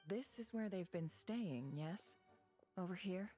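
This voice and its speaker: A woman voicing a composed, inquisitive investigator in a video game, asking measured, businesslike questions. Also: on the low side, lively intonation, mellow. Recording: music behind the voice.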